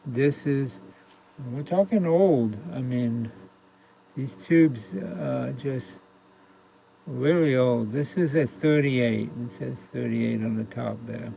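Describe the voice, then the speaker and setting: A man with a creaky, fry-heavy voice gives a casual hands-on demonstration. He talks slowly and haltingly, working things out aloud. The phone recording is dull and lo-fi. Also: mid-range voice. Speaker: radio repair hobbyist. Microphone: phone camera propped near the workbench. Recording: lo-fi phone recording with faint noise.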